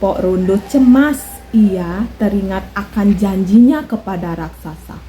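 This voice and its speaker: A woman telling a children's folk tale in a slow, expressive storytelling manner. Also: medium pitch, slight background noise.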